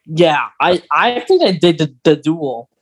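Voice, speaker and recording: A podcast co-host with a medium-pitched voice. The delivery is casual and conversational, like a fan talking things over with a friend. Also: sing-song, unhurried.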